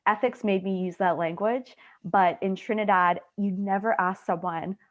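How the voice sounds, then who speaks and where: A woman's voice: medium pitch, moderate pace. A young woman from Canada, a student researcher, answering a question in a chatty way full of "like" fillers over a video call. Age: young adult. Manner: chatty, many "like" fillers, quotes people. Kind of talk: casual explanatory answer. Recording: muffled video call audio.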